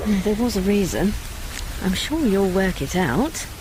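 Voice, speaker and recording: A knowing, middle-aged mother in a radio drama. She is brisk and sardonic yet loving, with a very mobile pitch. Noticeable ambient noise sits behind her. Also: sing-song. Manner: dry, sardonic.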